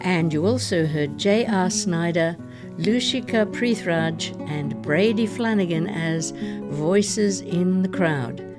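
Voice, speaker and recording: A woman presents the closing credits of a podcast as its host. Her voice is fairly low and measured yet informal, with music clearly behind it. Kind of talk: scripted credits narration.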